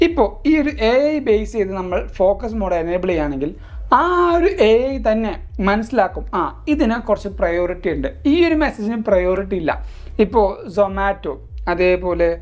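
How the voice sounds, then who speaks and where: A man's voice: sits high, a little mellow. A man from Kerala with a Malayali accent hosts a tech explainer, talking conversationally to viewers and mixing in English terms. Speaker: tech video host.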